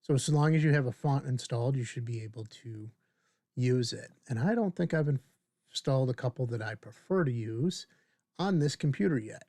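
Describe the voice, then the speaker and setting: A man in his fifties hosting a casual screen-share software tutorial, informally thinking aloud as he demonstrates. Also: mid-range voice, lively intonation, moderate pace, no echo. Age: 50s. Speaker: video tutorial host.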